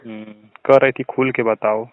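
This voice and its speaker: A man's voice: medium pitch, level tone, moderate pace, no room sound. A young man with a Bhojpuri accent chatting casually and telling stories in a teasing, boastful way. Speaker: student. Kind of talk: casual phone chat, telling anecdotes. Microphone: phone. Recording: narrow, tinny phone call recording.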